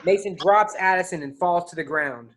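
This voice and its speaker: A woman's voice: fairly low pitch, moderate pace. A woman reading stage directions as scripted narration in a staged play reading.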